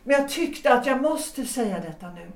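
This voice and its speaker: Resolute married woman, 1906 period drama, measured and formal delivery, roomy sound, off the mic. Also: on the high side, animated, far from the mic. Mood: grave, composed. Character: resolute married woman leaving her husband for love, 1906. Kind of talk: period stage-play audio drama acting.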